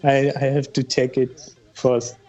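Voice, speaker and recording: A young Pakistani man with a mellow, dark voice answers hesitantly, filling pauses with soft "uh"s. His audio comes through dull, lo-fi earphone call sound. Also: mid-range voice, completely flat delivery. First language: Pashto. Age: 20s. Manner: hesitant, many uh fillers, soft-spoken. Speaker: guest, drone pilot. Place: plain room indoors. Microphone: earphone mic on video call.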